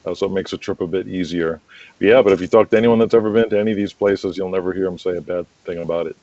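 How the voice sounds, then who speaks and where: A man speaking as a guest in a casual conversational interview, chatty and quick to laugh, on muffled lo-fi audio. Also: low-pitched, steady tone, dark tone, dry recording. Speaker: podcast guest, wrestling promoter.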